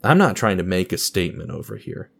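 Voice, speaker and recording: A man's voice: low-pitched, big pitch swings, a little fast, creaky, a bit bright, breathy edge. A man narrating an audio drama in a theatrical, wry style, confiding in the listener as a storyteller. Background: guitar music.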